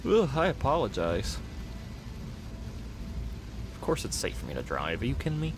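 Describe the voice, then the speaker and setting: A man playing a tired, grumbling but affectionate boyfriend on a night drive, soft-spoken in a casual, rambling roleplay ASMR style. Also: mid-range voice, huge pitch swings, normal speed, noisy background. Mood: playfully offended. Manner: casual, rambling, sighing, mock-grumpy. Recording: music behind the voice.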